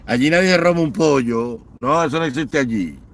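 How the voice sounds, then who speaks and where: A man's voice: medium pitch, expressive, normal speed. A man giving an impassioned political rant, piling up scornful lists and mocking. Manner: fiery, repetitive listing, mocking. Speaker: political commentator.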